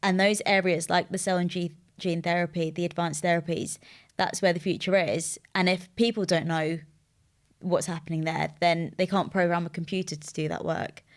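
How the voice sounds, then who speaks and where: A young woman from the UK with a fairly low voice chats easily on a podcast, leaning on "like" and "you know" as she thinks aloud. Her talk is loose and friendly, the way people talk in a relaxed interview. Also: normal speed. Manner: chatty, many "like" and "you know" fillers. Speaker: recruitment firm co-founder, podcast guest. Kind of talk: casual interview chat.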